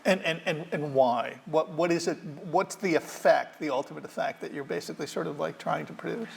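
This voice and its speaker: Gravelly, talkative male moderator. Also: on the high side, brisk. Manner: talkative, repeats words, leads questions. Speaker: curator and moderator. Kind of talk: onstage interview. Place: auditorium.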